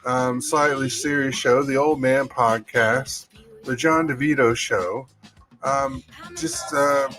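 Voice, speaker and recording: A man's voice: mid-range voice, fairly even intonation, slow, a bit bright, soft background music. A man hosting a podcast in a casual, folksy way, reading aloud and chatting to listeners. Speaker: podcast host.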